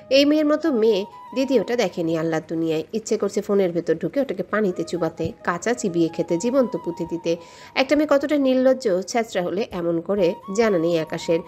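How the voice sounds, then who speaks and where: A woman's voice: medium pitch, darkish tone. Woman narrating romance over music. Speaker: story narrator. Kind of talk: scripted romantic story narration.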